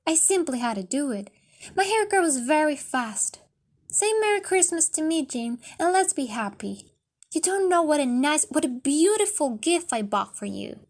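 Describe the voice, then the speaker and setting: Young wife for a storybook, played by a female reader: high voice, eager, affectionate, emotionally pleading. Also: big pitch swings, moderate pace. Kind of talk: storybook character reading.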